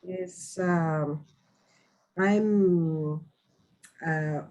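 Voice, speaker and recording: A non-native English-speaking woman in her fifties, answering in an online class, halting and searching for words. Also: low-pitched, a little mellow. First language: not English. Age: 50s. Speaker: German teacher taking part as a student.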